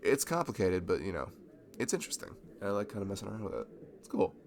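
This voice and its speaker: Shy young nerd in love male actor, low and gravelly, hesitant and rambling, ASMR roleplay over audible background noise. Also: big pitch swings, quick pace. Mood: modest, enthusiastic. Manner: rambling, hesitant, stammering, nervous laughs. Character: shy, giddy, nerdy young man, a longtime friend in love.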